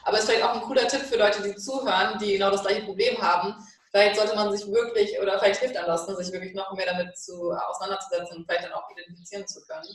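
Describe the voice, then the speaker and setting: Fast-talking host in a roomy echo. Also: mid-range voice, fairly even intonation. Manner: curious, asks long winding questions with frequent "ne?". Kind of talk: casual conversational interview. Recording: very roomy sound, distant from the mic.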